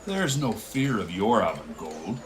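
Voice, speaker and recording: Bossy blacksmith, swinging pitch. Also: male voice, very animated, measured pace. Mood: stern, contemptuous. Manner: scolding, commanding. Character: hard, bossy Irish village blacksmith man, impatient taskmaster. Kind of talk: theatrical radio play acting.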